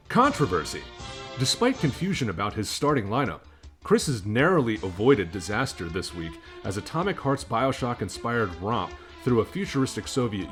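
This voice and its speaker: Male podcast co-host, lowish voice, playful sportscast-style update read with music underneath. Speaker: podcast co-host reading a fantasy league update. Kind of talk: mock sports-news segment and casual banter.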